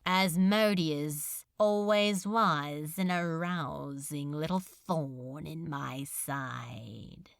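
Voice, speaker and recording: A woman's voice: low voice, extremely slow, vocal fry, dry recording, studio quality, voice pushed down, heavily acted. A woman voicing a cruel demon lord in an audiobook, mock-polite and languid, with drawling, archaic menace. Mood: mocking, sinister. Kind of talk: audiobook character acting.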